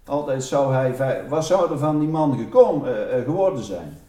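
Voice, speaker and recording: A man with a soft-edged, mellow and slightly high voice recounts stories casually. He is recorded in a roomy space, a little away from the microphone. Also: animated, normal speed, recorded from a distance. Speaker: interviewee recounting his mother's wartime stories. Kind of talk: casual anecdotal storytelling.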